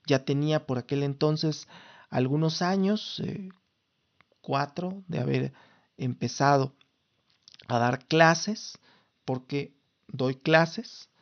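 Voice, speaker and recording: A man's voice: a little high, takes it slowly, pauses often, highs cut off. A Mexican man in his thirties from Mexico City, hosting his own podcast in a formal, lawyerly way with frequent restarts. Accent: Mexican Spanish. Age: 30s. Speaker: podcast host. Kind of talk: casual solo podcast talk.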